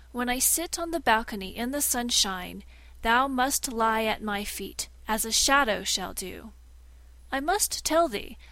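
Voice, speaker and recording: A woman's voice: a little high, a bit bright, no echo, poor audio. A woman voicing a haughty, scheming male shadow who now acts as master and speaks in commanding, old-fashioned thee-and-thou phrasing.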